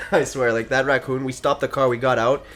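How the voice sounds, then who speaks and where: A man's voice: medium pitch, flat delivery, normal speed, fairly bright tone. A young man telling a casual story, giggly and swearing freely as he talks. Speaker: gaming channel co-host. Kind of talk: casual animated storytelling chat. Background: background music.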